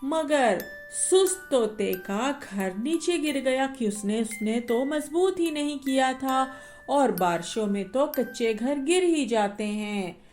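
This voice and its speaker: A woman with a high voice tells a children's bedtime story. She reads slowly and gently, and faint music plays beneath her. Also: expressive. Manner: slow, gentle. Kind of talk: scripted bedtime story narration.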